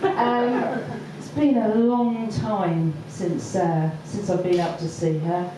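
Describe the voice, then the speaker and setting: A woman doing stand-up, telling a story slowly with many ums and pauses. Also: on the low side, fairly even intonation, background noise. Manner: hesitant, lots of ums, slow deliberate delivery. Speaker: stand-up comedian. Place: comedy venue with audience laughter. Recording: echoey far-off live room recording.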